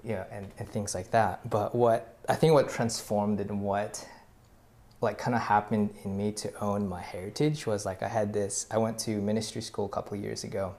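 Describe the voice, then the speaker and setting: Guest speaker: a Tagalog-native man with an earnest, halting delivery and a gravelly voice. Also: on the low side, fairly even intonation, a little mellow. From Philippines. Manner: earnest, many fillers and restarts. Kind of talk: casual faith conversation, personal story.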